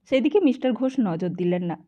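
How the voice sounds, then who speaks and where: A woman's voice: medium pitch, expressive, brisk, dark tone, muffled recording. A woman reading a literary novel aloud as an audiobook narrator.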